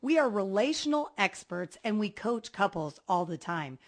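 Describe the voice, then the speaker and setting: A woman's voice: mid-range voice, very animated, moderate pace. A woman relationship coach presenting a scripted audio seminar.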